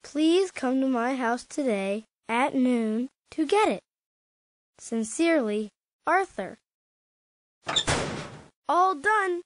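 Eager little cartoon boy, high voice, takes his time, swoopy, storybook game. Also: animated, slow, long pauses, dry recording. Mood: earnest, pleased. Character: eager, friendly young cartoon schoolboy planning a party. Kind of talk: animated children's storybook game acting.

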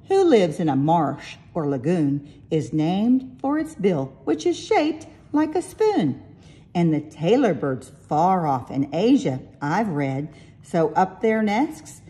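A woman reading a rhyming picture book aloud with expressive, deliberate delivery. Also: fairly low pitch. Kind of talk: storybook read-aloud in rhyming verse.

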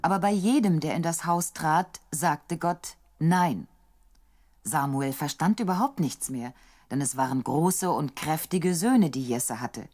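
A woman's voice: low voice, measured pace, darkish tone. A woman narrating a scripted Bible story as a storyteller.

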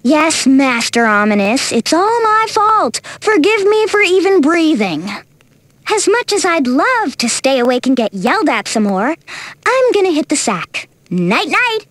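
A woman's voice: high voice, very animated, normal speed, a bit bright, no room sound. A woman playing a level-headed young adventurer in a game, wry and teasing in her delivery. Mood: sarcastic.

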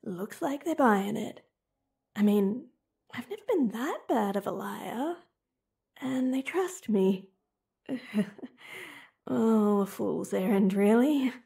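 A woman playing a flustered, husky childhood-friend crush. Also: on the high side, animated, takes it slowly, pauses often, mellow, exaggerated voice. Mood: playful teasing. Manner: swings between whispered inner thoughts and playful teasing. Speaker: voice actress. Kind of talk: audio roleplay.

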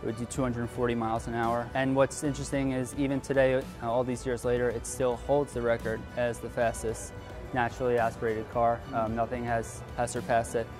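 A man in his thirties, an auction car specialist, explaining in an interview in a halting, measured way. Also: medium pitch, level tone, over music. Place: large tent with cars on display.

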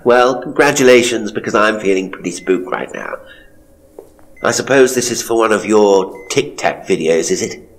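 A man playing a hapless, theatrical ghost tour guide in a horror audio drama, British, uneasy and hesitant, with dramatic pauses. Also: medium pitch, a bit bright, low-quality recording. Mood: alarmed, spooked. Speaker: horror storyteller and voice actor. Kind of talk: dramatic first-person audio drama monologue.

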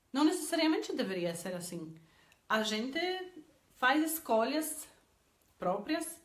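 A woman's voice: mid-range voice, a bit bright, roomy sound. A woman in her thirties from Poland, speaking with a Polish accent and teaching languages, talking in a casual vlog with many hesitant "eh" fillers and repeated words, filmed on a phone in a small indoor room.

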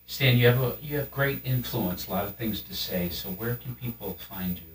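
A man hosting a podcast, speaking quietly and briefly from off camera as he wraps up the interview. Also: mid-range voice, normal speed, low-quality recording. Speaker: podcast host. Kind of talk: interview wrap-up.

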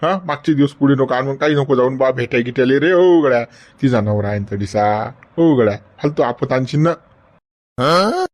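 An elderly friend, played by a man speaks with a Varhadi accent, alternately coaxing and chiding. His voice sits at a middling pitch, with a rustic drawl. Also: moderate pace, natural voice. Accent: Varhadi Marathi. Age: older. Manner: coaxing, chiding with repeated "गड्या". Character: old male friend, coaxing and scolding.

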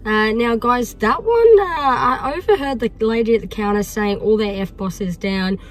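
An Australian woman in her thirties with a croaky voice, chatting casually to camera from a parked car on a phone held at arm's length. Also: on the high side, animated, unhurried. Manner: chatty, upbeat, many fillers. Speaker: vlogger. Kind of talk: casual vlog talk to camera.

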